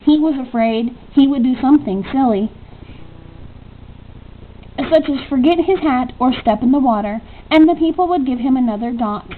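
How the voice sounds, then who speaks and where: A woman's voice: high-pitched, moderate pace. A woman reading a children's story aloud as a narrator. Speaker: storybook reader. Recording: tinny narrow-band audio, faint noise.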